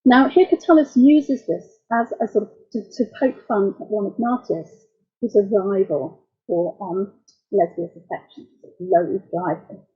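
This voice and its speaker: Husky-voiced woman giving a wry lecture. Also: medium pitch, highs cut off. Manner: wry, explanatory, measured. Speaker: lecturer and translator. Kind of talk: academic talk.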